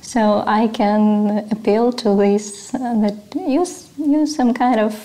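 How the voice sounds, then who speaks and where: Casting: female interviewer, Ukrainian accent, unhurried delivery, soft dark tone, hesitant phrasing. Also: on the high side, low-quality recording. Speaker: interviewer, host. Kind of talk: live talk interview.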